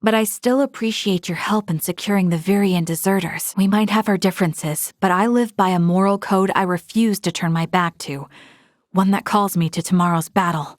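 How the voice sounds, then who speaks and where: A woman's voice: lowish voice, no echo, studio quality, lightly acted. A woman playing an earnest young female military officer in a space video game, speaking formally and articulately with resolve. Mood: resolute. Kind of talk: scripted video game character dialogue.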